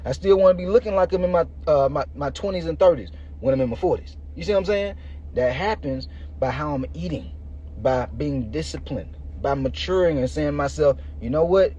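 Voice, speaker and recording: Guy in his 30s doing a slangy fitness pep talk, mellow voice, bit of noise in the recording. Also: on the high side, fairly quick. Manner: colloquial, slangy, direct address to listeners. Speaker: podcast host and fitness coach. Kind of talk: informal motivational podcast monologue. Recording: muffled, noisy lo-fi recording.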